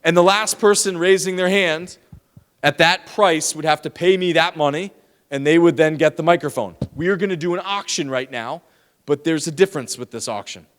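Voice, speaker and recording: A man giving a lively, teasing lecture to students in a large hall, speaking into a handheld microphone. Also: fairly high pitch, moderate pace. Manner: lively, teasing, talks straight to the audience. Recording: long hall reverb.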